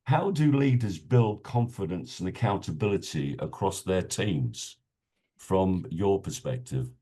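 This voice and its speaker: A man's voice: low voice, animated, a little slow, warm tone, slightly rough. A man hosting a business webinar, introducing his guest and asking questions politely, with many filler sounds. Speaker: webinar host and peer group chair.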